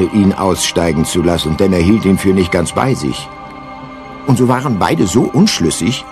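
A man playing the wry storytelling narrator of a science-fiction radio play, measured and storybook-like. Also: low voice. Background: music.

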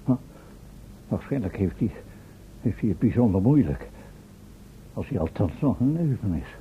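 A man's voice: very animated, normal speed. A man playing an impatient, worried professor and inventor in a Dutch radio play, hesitating and mildly scolding. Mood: worried, musing. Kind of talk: Dutch radio drama acting. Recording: thin phone-like old radio recording, noisy, rumble.

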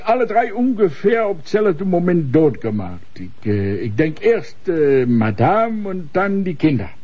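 A chatty forensic doctor in a crime drama, with a German accent and mangled grammar. His voice is high, husky and very animated, full of confident theories. The sound is slightly muffled. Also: measured pace. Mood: insistent. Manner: garbled foreign grammar, opinionated. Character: talkative, flirtatious male forensic doctor with broken Dutch. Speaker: police doctor. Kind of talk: naturalistic crime drama acting.

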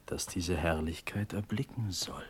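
An actor playing a condemned young prince, proud and impulsive, in declamatory verse radio drama. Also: male voice, low-pitched, over music. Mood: brooding, resigned. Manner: declamatory, deliberate, shifting from murmur to outburst.

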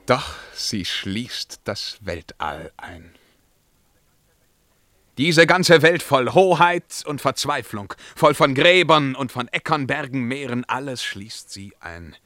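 Anguished, declamatory emperor, bright-voiced. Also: male voice, mid-range voice, very animated, takes it slowly, no echo, full character voice. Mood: proud, burdened. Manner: declamatory, swinging from hushed murmurs to outcries. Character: anguished, brooding male emperor, burdened by his crown. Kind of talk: theatrical verse radio drama acting.

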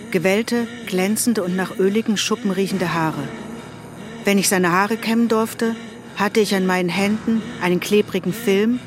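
A woman reading scripted audio-drama narration in a measured, matter-of-fact way, like stage directions. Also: fairly low pitch.

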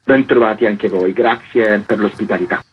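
A man's voice: fairly low pitch, normal speed, breathy edge. A man, interviewed on the radio as a festival organiser over a lo-fi link. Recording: dull, lo-fi remote radio link.

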